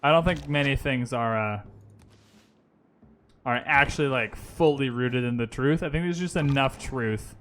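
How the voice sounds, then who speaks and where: A man's voice: medium pitch, a little slow, a bit bright, slight background noise. A man streaming a strategy game, thinking his moves aloud and chatting casually with his viewers. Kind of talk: casual gaming commentary. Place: lives in Vancouver.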